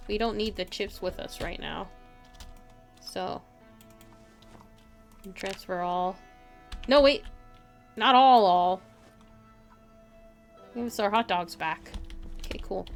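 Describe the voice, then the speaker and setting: A woman streaming a video game live with casual, chatty commentary, often muttering to herself and to game characters. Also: mid-range voice.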